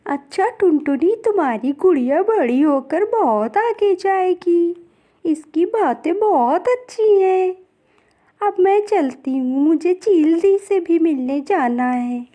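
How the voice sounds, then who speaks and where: A woman's voice: extremely high pitch, lively intonation, takes it slowly, mellow, exaggerated voice. A woman voicing a chatty female crow auntie in a cartoon story, gushing and sing-song, friendly yet conniving. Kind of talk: cartoon story character acting.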